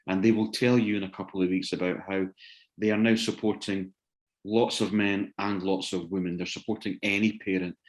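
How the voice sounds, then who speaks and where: A man hosting an online seminar informally, hesitant and often repeating words as he searches for his phrasing. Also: low voice. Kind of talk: informal spoken closing remarks to attendees. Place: online video session.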